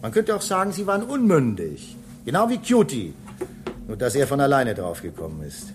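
An actor playing a talkative, excitable space station technician in a German radio drama, lecturing his colleague in an insistent, teasing way. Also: mid-range voice, normal speed. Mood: insistent, enthused. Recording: clear background noise behind dialogue.

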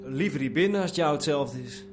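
An actor playing a cautious, placating small-time smuggler in a radio drama, reasoning in a hesitant way. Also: male voice, a little high, expressive, brisk, music in the background, close to an everyday voice. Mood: wary, placating.

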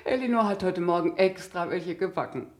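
An actress playing an eager, hospitable guesthouse hostess in a German radio drama, effusive and chatty. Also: female voice, lowish voice, brisk, a little mellow, close to an everyday voice. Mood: solicitous. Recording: echoey room.

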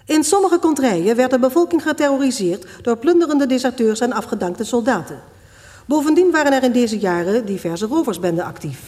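A woman's voice: fairly high pitch, animated, a little fast, warm tone, slight background noise, natural voice. A woman reading scripted historical narration as the narrator of a radio drama. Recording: reverberant hall sound.

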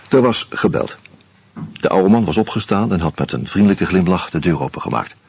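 Tired murder investigator telling the story, grim and measured, breathy low voice. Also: male voice, no room sound, exaggerated voice. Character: weary man investigating a murder. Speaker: first-person narrator and detective figure. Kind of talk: radio drama narration.

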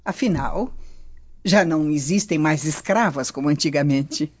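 A woman plays an imperious Roman noblewoman in a radio drama. Her voice is on the low side, formal and scheming, with slightly muffled lo-fi sound. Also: measured pace, over loud music. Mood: haughty. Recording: dull lo-fi audio.

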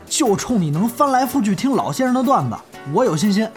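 A man acting an eager, animated young comedy hopeful. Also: sits high, a little fast, soft background music. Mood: earnest, eager. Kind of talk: Chinese audio drama dialogue.

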